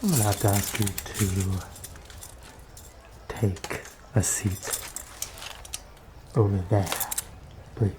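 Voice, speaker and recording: Man playing a slow, low-voiced corrupt fantasy guard. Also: fairly even intonation, background noise. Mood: sly, wheedling. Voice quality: soft, often dropping to a whisper. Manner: slow, insinuating, mock-polite. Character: corrupt, wheedling fantasy town guard fishing for bribes. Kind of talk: ASMR roleplay.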